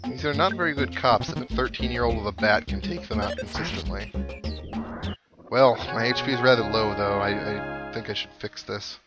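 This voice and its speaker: A man with a medium-pitched voice gives casual commentary on a game he is playing. He is wry and dry-humoured, and game music plays clearly behind him. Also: normal speed. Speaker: host of a gaming playthrough series. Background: video game music.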